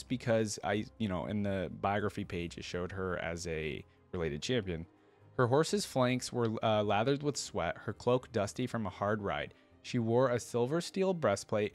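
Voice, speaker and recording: A man reading a fantasy story aloud and adding enthusiastic, informal fan commentary as a reaction video host. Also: on the low side.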